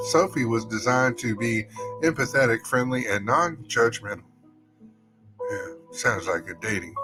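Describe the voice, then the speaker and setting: A man with a mid-pitched voice hosts a podcast. He talks in a casual, folksy manner, moving between reading aloud and chatting to his listeners. Music plays softly behind him. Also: rough recording. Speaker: podcast host.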